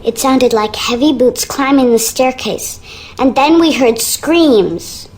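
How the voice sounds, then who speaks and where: A woman's voice: sits high, slow pace, a little mellow. A woman voicing a frightened, earnest young girl in a video game, childlike and confiding. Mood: sad, scared. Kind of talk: video game character dialogue.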